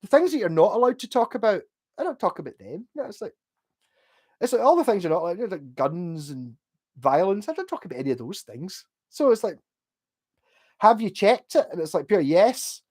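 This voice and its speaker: A man's voice: high-pitched, big pitch swings, fairly quick, darkish tone. Rambling Glaswegian man on a livestream. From Glasgow, Scotland. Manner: rambling, sweary, reading chat aloud. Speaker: livestream host. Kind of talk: casual chat.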